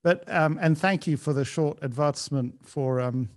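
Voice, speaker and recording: A man's voice: fairly high pitch, normal speed. A man hosting an interview, introducing and questioning his guest in a friendly, gracious way. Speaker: host. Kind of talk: casual interview conversation.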